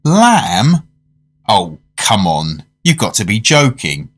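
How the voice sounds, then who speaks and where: An actor playing a polite male dinner guest in a radio drama, narrating his macabre tale in a measured, genteel way. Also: fairly low pitch, very animated, heavily acted. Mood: incredulous. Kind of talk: radio drama, first-person narration and dialogue.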